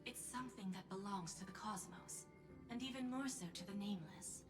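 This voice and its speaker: An actress playing a poised, persuasive corporate executive in a video game, speaking formally in measured phrases. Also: female voice, mid-range voice, music in the background, close to an everyday voice. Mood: persuasive, assured. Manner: formal, smooth-talking, measured. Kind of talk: scripted video game dialogue.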